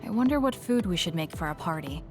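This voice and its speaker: A woman voicing an aloof, level-headed young female soldier in an anime game, with a flat, matter-of-fact delivery. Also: fairly low pitch, quick pace, music in the background, lightly acted. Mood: cool, matter-of-fact. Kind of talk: anime video game dub acting.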